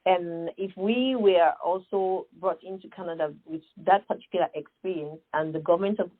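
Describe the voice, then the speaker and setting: Husky Sierra Leonean woman on a call. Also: lowish voice, moderate pace, phone-quality sound. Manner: earnest, emphatic, many fillers and rhetorical questions. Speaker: guest, nonprofit founder. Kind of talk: conversational interview answer.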